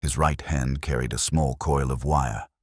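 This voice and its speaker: A man narrating a scripted mystery story as an audiobook narrator, in a measured, solemn way. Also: very deep voice, steady tone, no echo, clean studio sound.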